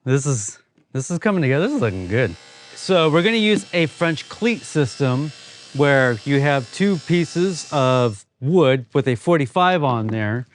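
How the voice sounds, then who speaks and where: A man's voice: medium pitch, lively intonation, unhurried, a little hiss or hum. A man hosting a woodworking vlog, explaining things in an informal, plain-spoken way. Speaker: host of a woodworking channel. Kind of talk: casual workshop vlog narration.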